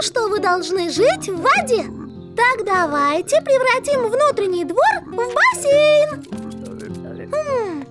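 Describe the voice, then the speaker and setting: A woman's voice: extremely high pitch, heavily acted. A woman voicing an eager, playful little fairy princess girl in a children's cartoon dub. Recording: children's music behind the voice.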